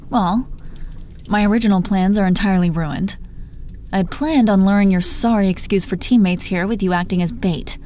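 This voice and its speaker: Woman acting a mellow, possessive female supervillain. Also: medium pitch, a little hiss or hum, natural voice. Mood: cool menace. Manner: sultry, deliberate, swearing, addresses listener with pet names. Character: possessive, menacing yet tender female supervillain. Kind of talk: intimate audio roleplay, scripted monologue.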